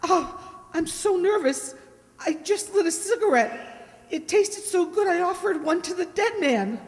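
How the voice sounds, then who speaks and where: An actress playing a prim, nervous, dithering schoolteacher in an old-time radio detective play. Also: female voice, extremely high pitch, expressive, measured pace, heavily acted. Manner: breathless dithering, fretful. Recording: reverberant hall, stage-like echo.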